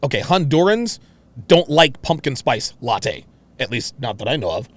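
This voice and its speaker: A man's voice: mid-range voice, big pitch swings, normal speed, vocal fry. A man hosting a reaction show, commenting on a clip in a brash, mocking, profane and repetitive manner.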